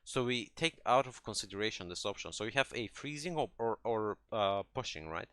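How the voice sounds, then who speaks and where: A man's voice: fairly low pitch, animated, fairly quick, fairly bright tone, smooth, no echo, studio quality. A man coaching a player through a game replay in a conversational, explanatory way, restarting phrases and often checking that he is followed. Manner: hesitant phrasing with many restarts, checking understanding with "right?". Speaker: gaming coach.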